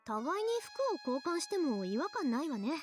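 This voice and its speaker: A woman's voice: high voice, sing-song, racing, soft background music. An actress playing a teasing, haughty teenage girl in a visual novel, sassy and theatrical. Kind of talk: Japanese visual novel voice acting.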